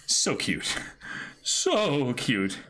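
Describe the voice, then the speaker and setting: A man voicing a lovestruck, excitable young pirate, gushing theatrically in a comic dramatic reading. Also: medium pitch, very slow, heavily acted. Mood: swooning, ecstatic. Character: lovestruck, excitable young male pirate swooning over mermaids.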